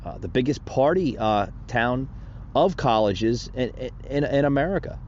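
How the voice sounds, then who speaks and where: A man's voice: medium pitch, expressive, measured pace, a little mellow, lo-fi sound, a little hiss or hum. A man hosting a solo political commentary podcast, rambling and indignant, with many fillers and restarts. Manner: indignant and preachy. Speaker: podcast host.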